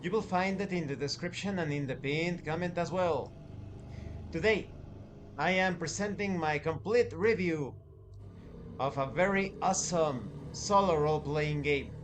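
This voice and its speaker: A man presenting a tabletop game review as channel host, deliberate and emphatic, pausing and stretching key words. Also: a little high, noisy background. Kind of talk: scripted-sounding review presentation.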